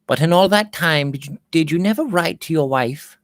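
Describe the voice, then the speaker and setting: A man voicing a gentle, pious and melancholy governess in an audiobook, soothing and sorrowful. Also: fairly high pitch, lively intonation, normal speed, no echo, studio quality, pitched above the performer's own voice. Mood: anxious. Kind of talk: audiobook character reading.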